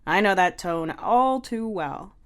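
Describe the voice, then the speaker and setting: A woman's voice: medium pitch, expressive, takes it slowly, a bit bright. Woman doing a cheeky, easygoing teenage boy. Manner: teasing, casual.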